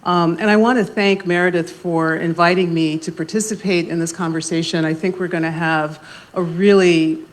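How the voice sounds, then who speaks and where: A soft-spoken woman moderating a library panel discussion, speaking in a measured way with frequent pauses and ums. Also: on the low side, steady tone. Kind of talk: panel discussion opening talk. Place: library event room. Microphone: handheld mic.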